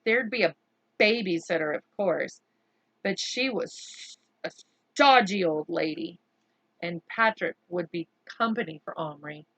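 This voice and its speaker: A woman reading a chapter book aloud to children in an expressive, deliberate storyteller's way. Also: lowish voice, no room sound, poor audio.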